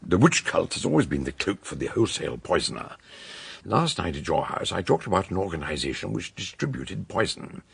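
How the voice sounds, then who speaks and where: An actor in a radio detective drama playing an eccentric older doctor, a grave scholarly sleuth who speaks in a ponderous, theatrical way, with a gravelly, husky voice. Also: male voice, low voice, very animated, brisk, full character voice. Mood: grave, emphatic.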